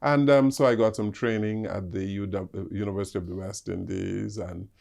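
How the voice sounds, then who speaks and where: An older man with a Bahamian accent, a guest answering interview questions with many pauses and fillers. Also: fairly low pitch, fairly even intonation, vocal fry, darkish tone. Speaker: ambassador guest.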